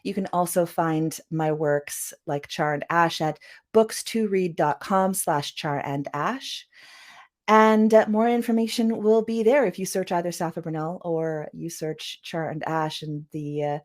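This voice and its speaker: A woman's voice: fairly low pitch, a little slow, a little mellow. A Canadian woman with a Vancouver accent, talking casually as an author guest, with many fillers and frequent laughs. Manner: chatty, laughs often, many "you know" fillers. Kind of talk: casual interview conversation.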